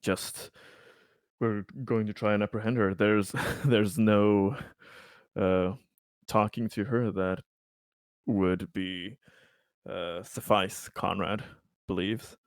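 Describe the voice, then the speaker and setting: Low-voiced man at a game table. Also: steady tone, slow pace, pauses often, clear, resonant tone, dry recording, studio quality. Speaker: tabletop roleplaying player. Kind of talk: casual game-table chat.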